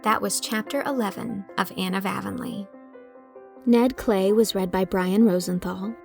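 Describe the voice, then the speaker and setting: A woman's voice: medium pitch, steady tone, moderate pace, gravelly. A woman narrator reading scripted closing credits for an audiobook. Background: music.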